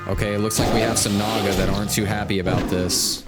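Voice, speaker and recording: A man's voice: lowish voice, flat delivery, fast. A man giving casual, laid-back gameplay commentary as he hosts a stream, thinking aloud and reading out quest text. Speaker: game livestream host. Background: game music.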